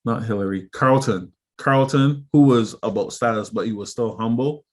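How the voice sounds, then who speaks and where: A man co-hosting a podcast, talking reflectively in casual chat with halting restarts. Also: mid-range voice. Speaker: podcast co-host.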